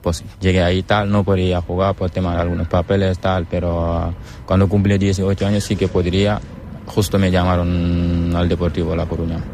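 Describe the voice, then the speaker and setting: A man's voice: deep voice, little pitch movement, a little fast, darkish tone, a little hiss or hum. A young man from Senegal, a footballer in a casual interview, speaking hesitantly and searching for words. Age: young adult. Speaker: footballer guest.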